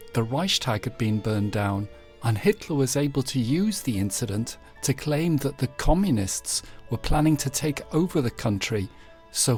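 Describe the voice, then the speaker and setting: A man reading scripted, documentary-style news headlines in a measured, formal manner. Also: medium pitch, gravelly. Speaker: narrator presenting historical news headlines. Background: background music.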